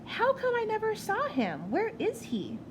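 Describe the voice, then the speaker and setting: A woman's voice: very high voice, huge pitch swings, measured pace, background noise, pitched above the performer's own voice, exaggerated voice. A woman voicing a sleepy, curious and grumbling little boy from a picture book.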